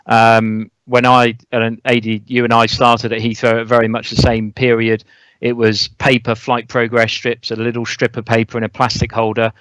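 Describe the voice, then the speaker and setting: A man with a smooth, clean voice and very even intonation, recorded close and dry. He talks as an expert guest, explaining in a measured, conversational way. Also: mid-range voice, dry recording, poor audio. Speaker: air traffic controller, interview guest.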